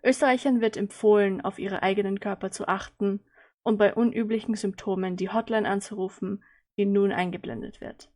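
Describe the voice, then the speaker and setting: A woman's voice: mid-range voice, steady tone, a little fast, darkish tone, lightly acted. A woman as a composed television newsreader, delivering a formal scripted bulletin.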